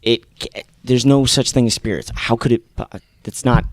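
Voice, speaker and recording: A man's voice: medium pitch, steady tone, moderate pace, a little hiss or hum, natural voice. A man playing an irritated, skeptical male science student, blunt and exasperated in an improvised comedy interview.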